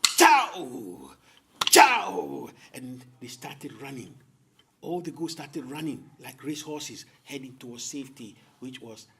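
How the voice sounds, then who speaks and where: A man telling a folktale as host, with theatrical, deliberate phrasing and dramatic pauses. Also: medium pitch, breathy edge. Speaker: storyteller, host. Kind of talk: oral folktale storytelling to an audience.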